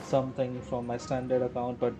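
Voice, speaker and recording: A man's voice: medium pitch, monotone, a little fast. A man giving casual live gameplay commentary as a streamer, muttering short reactions and questions to himself. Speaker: game streamer. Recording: game music behind. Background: game music.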